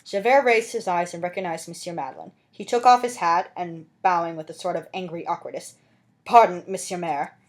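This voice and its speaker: A woman's voice: fairly low pitch, lively intonation, fairly quick, few pauses. A woman reading a classic novel aloud as an amateur audiobook narrator, with dramatic scripted delivery.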